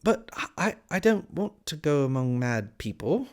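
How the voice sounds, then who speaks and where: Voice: medium pitch, big pitch swings, measured pace, pitched above the performer's own voice. A timid little storybook girl voiced by a narrator, polite and puzzled. The voice lifts above the reader's own and moves up and down, with hesitant, questioning phrasing. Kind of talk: storybook character voice.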